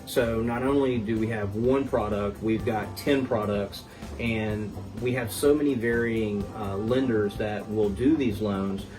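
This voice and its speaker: A man who is a mortgage specialist, giving steady explanations with frequent fillers as a podcast guest. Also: fairly low pitch, measured pace, far from the mic, music in the background, low-quality recording. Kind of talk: explaining in an interview.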